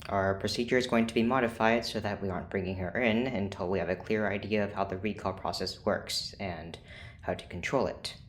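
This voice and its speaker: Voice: sits low, steady tone, fairly quick, natural voice. An actor in an audio drama playing a cool, controlled scientist who speaks formally and precisely. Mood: detached, businesslike. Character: cool, clinical scientist doctor, controlled and persuasive. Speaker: scientist running experiments.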